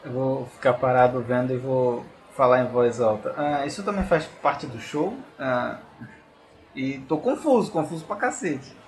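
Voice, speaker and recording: A man's voice: medium pitch, a little slow. A Brazilian man playing a tabletop RPG, improvising his character's actions and lines in casual speech. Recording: faint background noise.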